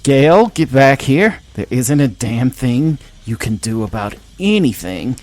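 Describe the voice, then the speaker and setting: A man's voice: medium pitch, big pitch swings, unhurried, darkish tone, pitched above the performer's own voice. A man voicing a stern, exasperated crew mate giving orders in an audio story. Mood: urgent. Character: stern, exasperated commanding comms voice. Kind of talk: audio story character dialogue.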